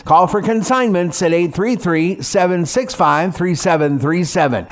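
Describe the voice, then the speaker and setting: A man reading a scripted radio advertisement as an announcer. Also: high voice. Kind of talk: scripted ad narration.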